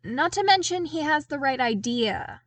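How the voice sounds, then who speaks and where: A woman's voice: sits high, lively intonation, moderate pace, no echo, voice pushed up. A woman voicing a frank, teasing, loyal male soldier in an audiobook, wry and familiar but deferential. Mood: reassuring. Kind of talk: audiobook character dialogue.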